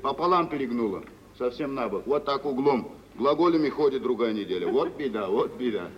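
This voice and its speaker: An actor playing a fussing, woeful choir singer, eager to please, lamenting in broken, repetitive phrases in an old radio drama. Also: male voice, medium pitch, animated, a little fast, far from the mic, natural voice. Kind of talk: mid-century Russian stage drama acting for radio. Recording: dull, reverberant old radio recording, faint noise.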